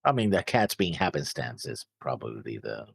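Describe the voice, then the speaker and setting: A man co-hosting a reaction show, chatting casually in a halting, rambling way. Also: rumbling low, big pitch swings, vocal fry, breathy edge, no echo, clean studio sound. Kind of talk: casual chat.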